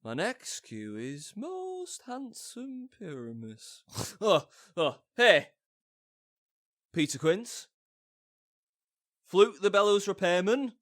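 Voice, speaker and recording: A man playing a foolish, bombastic amateur actor in a comic audio drama, theatrical, bumbling and halting. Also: sits high, sing-song, fairly bright tone, exaggerated voice. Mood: bewildered, calling out.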